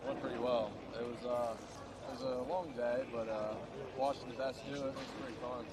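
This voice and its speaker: A man's voice: medium pitch, flat delivery, fairly quick, heavy background noise. A man being interviewed on a livestream, laid-back and hesitant, with many fillers. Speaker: guest. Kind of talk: casual interview.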